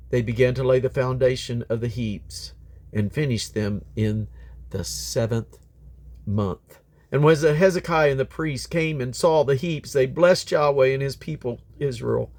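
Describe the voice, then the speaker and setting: A man's voice: mid-range voice, a little mellow. A man reading Bible scripture aloud in a solemn, declamatory manner, letting his phrases fall away at the end. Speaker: Bible reader.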